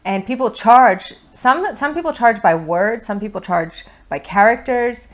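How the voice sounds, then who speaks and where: A woman's voice: fairly high pitch, expressive, measured pace. A woman in her thirties chatting casually on a couch, giving seller advice in an explanatory way, recorded with a lapel mic. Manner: chatty and explanatory, lots of fillers. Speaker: co-host, online seller. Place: indoor living room on a couch.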